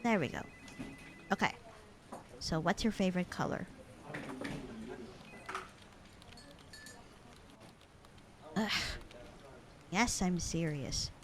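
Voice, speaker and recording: A woman playing a bored, sarcastic, slightly flirty secretary in a casual roleplay audio drama, with a deadpan, slangy delivery. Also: on the low side, measured pace, noisy background. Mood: playful, sarcastic. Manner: deadpan, slangy, unbothered drawl.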